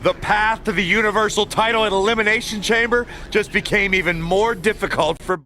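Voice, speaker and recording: An actor playing a brash, grumbling wrestling commentator with exclamatory, sarcastic sports calls. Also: male voice, high voice, steady tone, a little fast. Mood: excited. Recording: loud noise behind.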